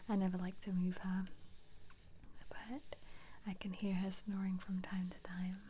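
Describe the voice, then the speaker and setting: Slow, whispering woman in soft ASMR style. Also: medium pitch, flat delivery, a little hiss or hum. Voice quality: breathy whisper. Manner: playful, musing aloud. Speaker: ASMR host. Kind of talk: soft-spoken whispered ASMR book flip-through.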